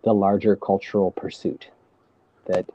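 A man telling stories as a guest, talking in a hesitant, halting way with many restarts, seated at home on a video call. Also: deep voice, flat delivery, muffled recording. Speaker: storyteller guest. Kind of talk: casual conversation.

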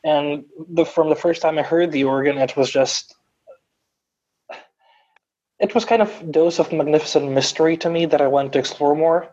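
A man's voice: on the high side, normal speed, pauses often, a little mellow, muffled recording. A man in his twenties from Croatia, a native Croatian speaker, telling his story earnestly as a guest in a podcast interview. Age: 20s. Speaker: guest organist.

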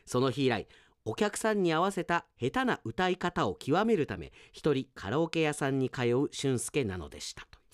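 A man hosting a radio show, chatting casually as he reads out listener mail and comments on it in an animated, chatty way. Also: mid-range voice, normal speed, no echo, studio quality. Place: dry radio studio.

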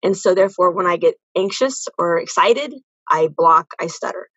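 A woman's voice: on the low side, lively intonation, moderate pace, fairly bright tone, breathy edge, dry recording, dull audio. A woman giving casual, earnest answers as a podcast guest, often repeating phrases for emphasis. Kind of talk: casual interview conversation.

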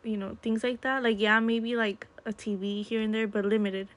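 A woman's voice: a little high, little pitch movement, moderate pace. A woman whose first language is Spanish, a guest chatting casually with frequent laughs and "like". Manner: chatty, giggly, lots of "like". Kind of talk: casual chat.

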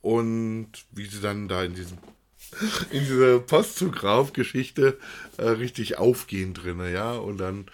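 Man, very low voice, podcast co-host, casual review talk, searching for words. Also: expressive, unhurried. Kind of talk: unscripted casual review chat.

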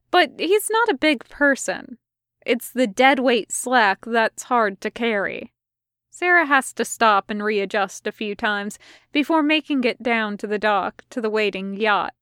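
A woman reading scripted fiction as narrator, with a measured, wry storytelling manner. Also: a little high, fairly bright tone, smooth, dry recording, studio quality. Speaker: podfic reader.

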